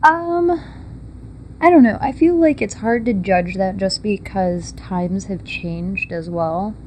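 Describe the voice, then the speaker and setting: A young woman chats as a podcast guest, loose and laughing often as she tells stories. Her voice sits at an average pitch with faint room noise behind it. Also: takes it slowly, a little mellow. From Texas. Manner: chatty, laughs often, loose storytelling with fillers. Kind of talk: casual interview.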